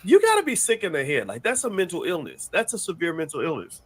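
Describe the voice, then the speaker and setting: Animated, rambling male news host. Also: fairly high pitch, fast talker, slightly rough. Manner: animated, rambling, with many repeated words. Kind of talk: news commentary.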